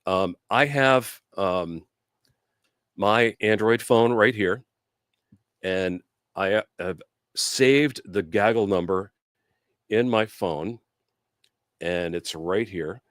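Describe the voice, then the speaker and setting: A man's voice: on the low side, slow pace, long pauses, fairly bright tone, no echo, clean studio sound. A man hosting a casual live product demo and interview, explaining things in an informal, conversational way. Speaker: host and product demonstrator.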